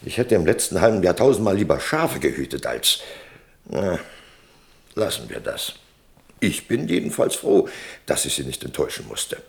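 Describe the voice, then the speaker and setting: A very low, gravelly male merchant in a radio drama. He is gruff and weary, complaining and justifying himself with big swings of intonation. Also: sing-song, normal speed, pauses often, heavily acted. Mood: weary, exasperated. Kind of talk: German radio play acting.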